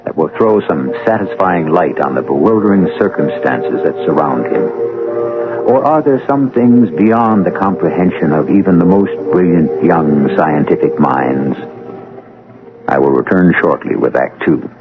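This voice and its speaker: A man hosting a radio mystery drama, narrating in a grave, deliberate, theatrical way. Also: low voice, lively intonation, phone-quality sound. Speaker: host and narrator. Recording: band-limited old radio broadcast, music behind. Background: music.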